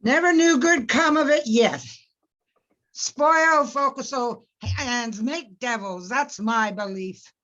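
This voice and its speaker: Dour sea captain, played by a woman: slow, blunt, forceful grumbler, muffled lo-fi line. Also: sits high. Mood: grim, disapproving. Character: dour, blunt male ship's master, disapproving. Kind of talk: radio play reading. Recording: muffled remote video-call audio.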